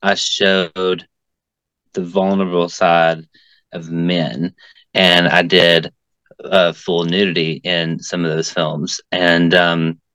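Guest: low, smooth male voice, rambling and chatty, lots of fillers. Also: flat delivery, slow pace, a bit bright, no echo. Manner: talkative, frequent "um" and "like". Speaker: interview guest, filmmaker and actor. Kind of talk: casual conversation.

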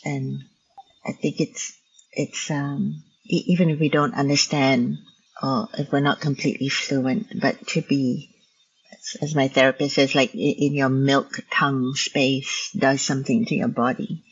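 A choreographer whose first language is Hokkien, speaking in a reflective conversation over a video call, thoughtful and halting, with many restarts. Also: female voice, very deep voice, flat delivery, slightly rough. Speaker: choreographer and teacher. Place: home in Vancouver. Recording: dull lo-fi video call audio.

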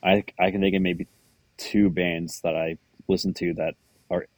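A man's voice: sits low, flat delivery, moderate pace, darkish tone, dry recording. A man guest on a music podcast, talking candidly with hesitant restarts. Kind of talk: casual music chat.

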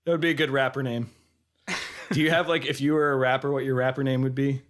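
A man's voice: mid-range voice, steady tone, fast talker, a bit bright. An American man in his thirties with a cold and a slightly congested voice, co-hosting a casual, jokey, rambling film discussion. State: has a cold, losing voice. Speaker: podcast co-host.

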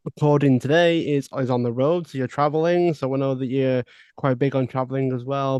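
A man hosts a podcast and puts friendly, direct questions to his guest. His voice is smooth and resonant, recorded dry and close with no room sound, at an ordinary pitch. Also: darkish tone, dry recording. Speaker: podcast host. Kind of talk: interview questions.

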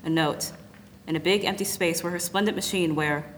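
A woman playing a measured narrator in a radio drama. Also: lowish voice, fairly even intonation, slight background noise, lightly acted. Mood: somber.

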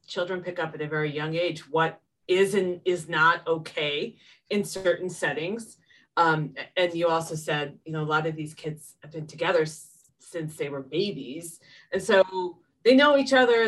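A woman's voice: on the low side, normal speed, fairly bright tone, recorded from a distance, low-quality recording. A woman hosting an interview, talking in a conversational way as she leads into her questions. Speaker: interview host. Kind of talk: casual interview chat.

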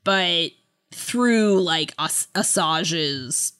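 A woman with a fairly low voice talks at a slow pace. She is a podcast co-host in a casual book discussion, feeling her way through her thoughts as she goes. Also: lively intonation, fairly bright tone, smooth.

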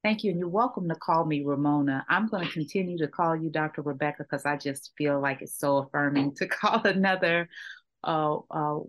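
A woman's voice: low voice, fairly quick, poor audio. A woman from Texas hosting a podcast interview, talking in an enthusiastic, chatty way with frequent fillers and restarts. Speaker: podcast host. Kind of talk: casual interview chat.

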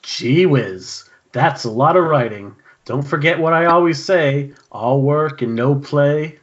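A man's voice: fairly high pitch, unhurried, close to an everyday voice. A man playing a cynical, goading horror villain in an amateur screenplay table read. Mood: sardonic. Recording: dull, lo-fi internet call audio.

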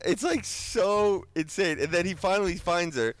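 A man's voice: high voice, normal speed, no echo. Man chatting from a hot car. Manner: laughing, does squealing impressions. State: sweating in the heat. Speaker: podcast co-host. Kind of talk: casual chat.

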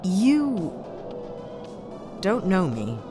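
A woman's voice: mid-range voice, wildly swooping pitch, drawn-out words, exaggerated voice. Guarded modest ex-officer pilot, hesitant and awkward, voice moves a lot, played by a woman, music under. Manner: hesitant, self-deprecating, awkward laughs. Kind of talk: audio drama acting.

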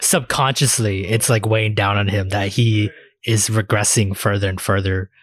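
A man's voice: lowish voice, steady tone, moderate pace. A man co-hosting a podcast, chatting casually about shows in informal, sweary language. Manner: profane, informal slang. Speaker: podcast co-host. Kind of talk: casual anime discussion chat.

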